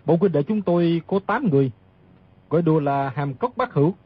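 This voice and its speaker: An actor playing a proud, troubled learned physician who speaks formally in a radio drama. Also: male voice, a little high, lively intonation, a little fast, husky, dry recording, narrow, tinny audio. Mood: explanatory. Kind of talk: dramatised audiobook reading.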